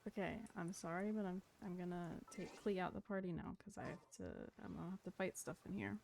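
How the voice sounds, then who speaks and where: A woman's voice: fairly low pitch, fairly even intonation, fairly quick, gravelly, darkish tone, a little hiss or hum. A woman livestreamer giving casual, playful commentary over a video game. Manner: playful asides to viewers.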